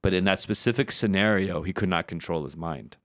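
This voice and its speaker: A very low-pitched man talks casually as a podcast co-host, working through his thoughts with frequent fillers and repeated words. The sound is dry and close, with no room around it. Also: brisk, no echo. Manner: thoughtful, builds ideas with many fillers and repeats.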